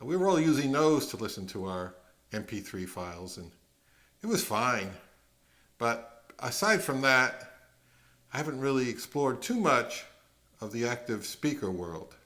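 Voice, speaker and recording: A man's voice: medium pitch, big pitch swings, unhurried, a bit bright. A middle-aged man narrating a product review in a conversational, musing way, often posing rhetorical questions as the host.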